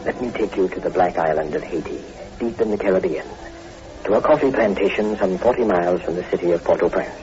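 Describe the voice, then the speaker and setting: An actor playing a mysterious horror host, narrating solemnly and theatrically in 1940s radio drama style. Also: male voice, low voice, quick pace, close to an everyday voice. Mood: grave, suspenseful. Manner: solemn, ominous, theatrical, formal. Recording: muffled old radio recording, faint music.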